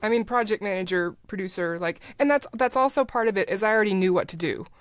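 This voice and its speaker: A woman's voice: medium pitch, quick pace, no echo, studio quality. A middle-aged woman talking casually as a podcast guest, explaining things in a conversational way. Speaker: podcast guest, writer and producer.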